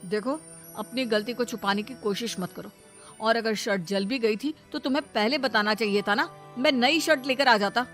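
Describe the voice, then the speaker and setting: A woman's voice: fairly high pitch, normal speed, fairly bright tone, faint music behind, close to an everyday voice. A woman voicing a young office-going husband in a cartoon moral story, with a pleading, easily exasperated manner. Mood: upset, accusing.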